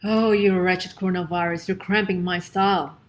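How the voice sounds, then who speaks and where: A woman voicing the inner thoughts of a flirty, self-pitying male jogger in a storybook reading, in a quiet musing tone. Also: fairly low pitch, fairly even intonation, moderate pace, a little hiss or hum, lightly acted. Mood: lamenting.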